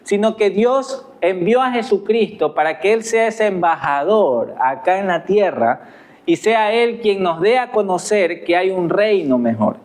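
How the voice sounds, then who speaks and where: A man's voice: high-pitched, lively intonation, unhurried, a little mellow. A man with an Ecuadorian accent preaching a sermon as pastor, earnest and asking his listeners rhetorical questions. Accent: Ecuadorian Spanish.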